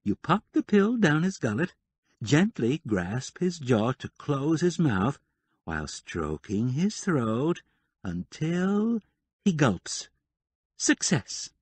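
A man voicing a brisk, capable middle-aged woman neighbor who gives confident, step-by-step instructions. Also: a little high, very animated, a little mellow, breathy edge, no echo, pitched above the performer's own voice, exaggerated voice. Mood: confident instructing.

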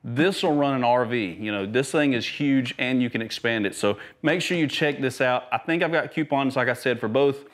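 A man's voice: mid-range voice, normal speed, few pauses. A man, talking casually and enthusiastically to camera while demonstrating gear in a large garage workshop, with lots of fillers and restarts. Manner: chatty, enthusiastic, filler-heavy, restarts often. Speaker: YouTube camping and gear host. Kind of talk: casual product demo to camera. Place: large garage workshop with tool chests, indoors.

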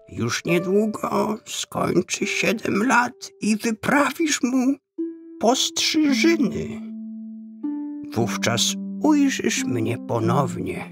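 An actor playing a mysterious wandering stranger in a children's radio play, speaking slowly and solemnly in cryptic promises. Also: mid-range voice, creaky, exaggerated voice. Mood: assuring, mysterious. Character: mysterious wandering stranger bringing comfort and prophecy. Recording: music under the voice.

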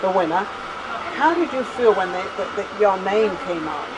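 A woman's voice: medium pitch, lively intonation, moderate pace, very noisy. An older woman with an English accent hosting a studio interview, chatty and rambling with frequent laughter. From England. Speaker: TV host. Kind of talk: casual TV interview. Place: studio set with sofa and flowers.

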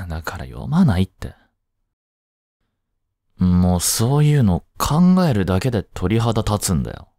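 Man acting low-voiced aloof boyfriend, slow and halting. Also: very animated, warm tone, dry recording, clean studio sound, exaggerated voice. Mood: curt, dismissive. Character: aloof young boyfriend, grumpy but secretly soft-hearted. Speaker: amateur voice drama creator and actor. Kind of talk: intimate boyfriend roleplay voice drama.

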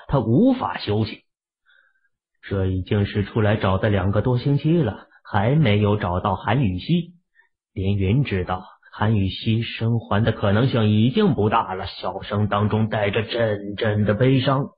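A man with a husky voice narrating a fantasy novel as an audiobook in an emotive storytelling manner. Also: fairly low pitch. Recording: muffled lo-fi audio.

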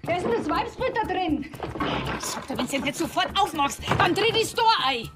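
An actress playing a coarse-tongued Bavarian wife in a TV crime comedy, blunt and scolding. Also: female voice, extremely high pitch, very animated, normal speed, music in the background. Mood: furious. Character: furious, coarse-tongued Bavarian wife berating her husband. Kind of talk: television crime comedy acting.

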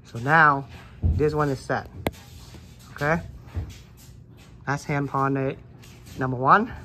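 A man's voice: mid-range voice, steady tone, slow pace, background noise. A man casually showing how to hand-pollinate plants in a basement grow room, talking loosely with lots of "like" and "um" while filming with a handheld phone. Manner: loose, chatty, fills with "like" and "um". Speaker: gardening vlogger. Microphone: handheld phone camera, filming his hands.

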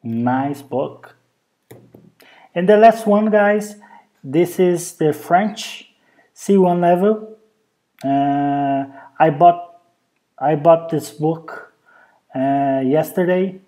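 A native Brazilian Portuguese speaker with a Brazilian Portuguese accent, speaking hesitant English with many pauses in a casual show-and-tell vlog recorded at home on a handheld camera. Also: medium pitch, dark tone. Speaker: vlogger. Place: indoors at home, over a bed.

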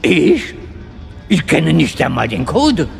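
An anxious male alien underling in an audio drama. The voice is high and creaky, placating and hesitant, with music underneath. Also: wildly swooping pitch, slow, full character voice.

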